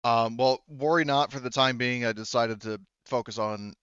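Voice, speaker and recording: A man's voice: mid-range voice, fairly even intonation, moderate pace, fairly bright tone, clear, resonant tone, no echo, muffled recording. A man casually narrating an online tabletop game as game master, hesitant and rambling, with many false starts.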